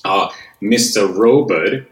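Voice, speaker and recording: A Russian-accented man with a very low voice talks casually as a guest, upbeat and now and then hunting for the right English word. English is not his first language. His voice rings in a noticeably roomy space. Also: slow. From West Siberia, Russia. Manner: upbeat, sometimes searching for English words. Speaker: guest actor. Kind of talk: casual interview chat.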